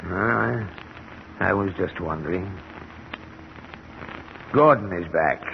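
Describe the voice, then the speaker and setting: An actor in an old-time radio drama playing a hoarse, agitated, reclusive middle-aged man who is defensive and losing his grip on reality. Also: deep voice, exaggerated voice. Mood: uneasy, bitter. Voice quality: hoarse, congested with a cold. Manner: defensive, suspicious, haltingly insistent. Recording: dull, noisy vintage radio recording.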